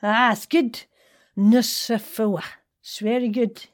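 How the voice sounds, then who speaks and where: Woman doing a drunk rambly podcast heckler lady, blunt, muddled, bit high voiced. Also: fairly even intonation, takes it slowly. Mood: tipsy, blunt.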